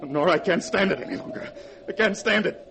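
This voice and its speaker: A jumpy, sleepless painter. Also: male voice, high voice, expressive, brisk, gravelly. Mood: distraught. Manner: tense, nervous. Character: troubled male painter, sleepless and nervy. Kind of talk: 1940s radio acting. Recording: noisy old radio broadcast.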